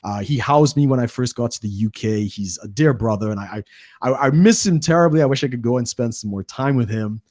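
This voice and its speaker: A man's voice: medium pitch, a little fast, darkish tone, no room sound. Host: man in his thirties, dry close mic, rambling friendly questions. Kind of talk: casual interview. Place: small room at home. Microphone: studio mic on boom arm, headphones.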